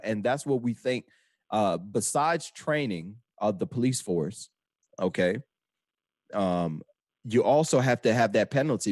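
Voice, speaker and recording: A man's voice: mid-range voice, a little mellow, dry recording, studio quality. A Trinidad-born man hosting a casual talk show, loose and talkative with slang. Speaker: host. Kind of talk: casual radio chat.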